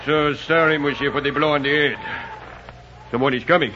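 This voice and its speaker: Man acting a high-pitched villain. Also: steady tone, fairly quick, crowd noise, natural voice. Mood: taunting. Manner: mockingly courteous, taunting. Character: menacing French-Canadian backwoods villain, mockingly polite. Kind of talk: 1940s radio acting. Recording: muffled old radio recording, loud noise.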